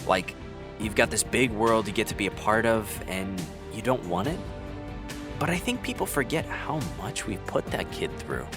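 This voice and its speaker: Over loud music, a man acts a protective interviewee in a lowish, animated voice. He is conversational and sympathetic, as in a scripted interview. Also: normal speed, lightly acted.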